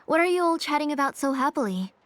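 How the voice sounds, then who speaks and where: A woman playing a gentle, caring mother and craftswoman in a video game. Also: high voice, lively intonation, fast, no room sound.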